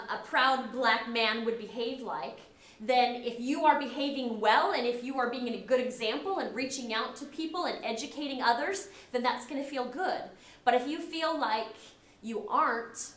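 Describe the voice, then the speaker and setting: Woman teacher, middle-aged, chatty lecture, voice a little high, roomy echo. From United States. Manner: conversational, animated, acts out quoted remarks. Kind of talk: recorded classroom lecture. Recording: echoey room.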